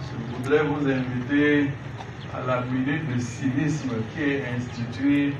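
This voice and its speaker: A man giving a formal public address as a civic education speaker, with deliberate, hesitant phrasing. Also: mid-range voice, steady tone. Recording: echoey, noisy, muffled, far from mic.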